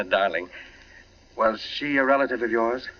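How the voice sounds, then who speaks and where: An actor playing an uneasy, inquisitive young husband who forces a casual tone, in a 1940s radio drama on a dull old recording. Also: male voice, mid-range voice, takes it slowly, close to an everyday voice. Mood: uneasy probing.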